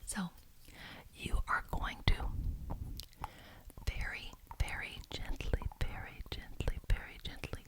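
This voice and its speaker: A woman performing an ASMR roleplay as a genteel, officious witch, speaking in a breathy whisper and deliberately repeating words for emphasis. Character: genteel, officious witch chairing a neighborhood meeting.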